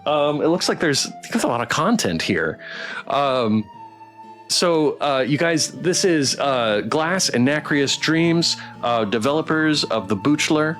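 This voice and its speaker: A man hosting a game stream, chatting casually and appreciatively in a halting way as he interviews guests. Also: on the high side, expressive, a bit bright, faint music behind. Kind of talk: casual live interview chat.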